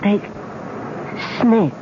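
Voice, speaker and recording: A man acting a dying king in an old radio drama, gasping out faint, halting fragments. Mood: weak, fading. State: gasping, barely alive. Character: dying young king, gasping last instructions, fading. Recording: muffled, very noisy old radio recording.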